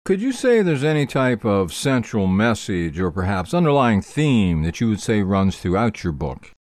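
A man's voice: fairly low pitch, big pitch swings, moderate pace, a little mellow. A man hosting an author interview show with polished, scripted presenter delivery and short questions to his guest.

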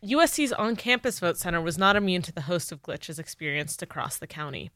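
A young woman reports the news in a smooth, resonant voice pitched a little low. Her delivery is measured and the sound is dry and close. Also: animated, fairly bright tone, dry recording. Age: young adult.

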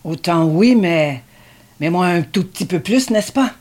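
A woman's voice: fairly low pitch, animated, a little slow, a little mellow. A woman playing a needy, sardonic grown son devoted to his mother, in a dramatic script reading. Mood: teasing, coaxing.

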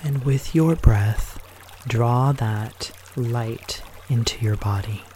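A man leading a guided meditation in a gentle, unhurried manner, leaving pauses between short phrases. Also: mid-range voice, noisy background.

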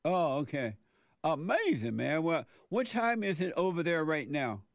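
A man's voice: on the high side, very animated, unhurried, no room sound, clean studio sound. A man hosting an interview, easygoing and enthusiastic, with brief reactions. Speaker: podcast host.

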